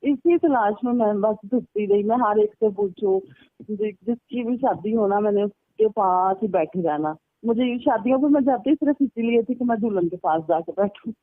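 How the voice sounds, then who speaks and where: A muffled, narrow phone line carries a woman's voice at a middling pitch. She chats casually, recounting personal anecdotes in a rambling way, circling back and repeating herself. The sound is close and dry. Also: expressive, moderate pace, no room sound. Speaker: caller telling a personal story. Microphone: telephone. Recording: muffled, band-limited phone call recording.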